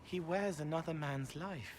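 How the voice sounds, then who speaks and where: A man playing an eerie, otherworldly, childlike young man in a video game, musing in a halting, plaintive way. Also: on the high side, a little hiss or hum, lightly acted. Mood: troubled, musing. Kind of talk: video game character dialogue.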